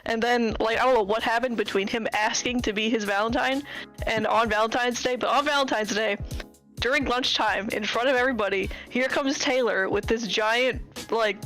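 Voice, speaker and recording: A woman's voice: a little high, fairly even intonation, normal speed, fairly bright tone, soft background music, low-quality recording. A woman calling in to a casual chat, telling a story in a rambling way with lots of fillers. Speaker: caller.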